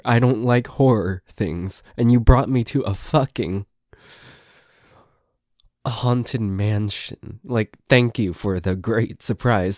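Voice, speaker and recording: Man playing nervous tsundere boyfriend, lowish voice, unhurried, hesitant, sweary, suspicious, grumpy, dry ASMR roleplay audio. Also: a little mellow, dry recording. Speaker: amateur voice actor.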